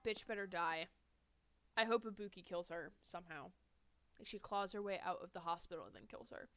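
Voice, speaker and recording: Bright-voiced, congested woman streamer. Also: lowish voice, fairly quick, faint music behind. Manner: chatty, laughs often, swears freely. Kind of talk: casual live gameplay commentary.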